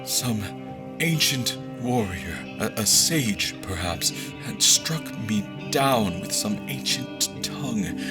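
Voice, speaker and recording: An actor playing a troubled, desperate general in an audio drama, speaking in a halting, grave, intense manner. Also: male voice, on the low side. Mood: anguished, haunted. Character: troubled, desperate newly-appointed general haunted by dreams. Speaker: actor narrating in first person and playing the lead. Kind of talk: dramatic audio fiction acting. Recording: loud music under the voice.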